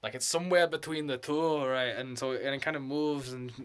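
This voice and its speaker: A man's voice: medium pitch, steady tone, fairly quick, smooth. A man vlogging alone, rambling and laughing as he slips in and out of put-on voices and accents. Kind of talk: rambling solo vlog.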